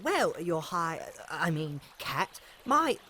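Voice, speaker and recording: A woman's voice: lowish voice, big pitch swings, slow pace, pushed and bright, full character voice. A woman voicing a humble, deferential old male gnome fisherman with a lilt in an audio drama. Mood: rueful. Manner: fussy, deferential, says "madam" often.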